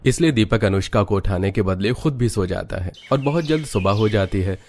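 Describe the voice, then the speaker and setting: Low-pitched man narrating a story. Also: expressive, brisk, a little mellow, slight background noise.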